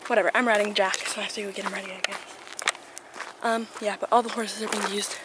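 A woman's voice: mid-range voice, flat delivery, fast. A woman chatting casually as she films a handheld vlog, rambling and trailing off as she gives a tour. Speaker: vlogger giving a barn tour. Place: horse barn and its grounds. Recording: noisy handheld camera recording.

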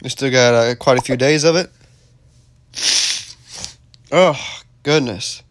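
A hoarse man with allergies casually commenting as he plays a tower defense game, rambling and easily flustered. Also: mid-range voice, slow, dry recording. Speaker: gaming video host. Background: loud game music.

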